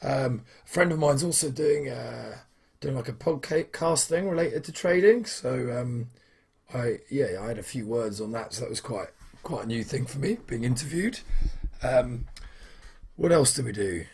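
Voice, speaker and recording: Young male vlogger, very husky hoarse voice, mid pitch, loose rambling delivery. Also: expressive, normal speed, a little mellow. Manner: rambling, many fillers and restarts. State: hoarse from shouting at football. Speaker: trading vlogger. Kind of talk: casual weekly vlog.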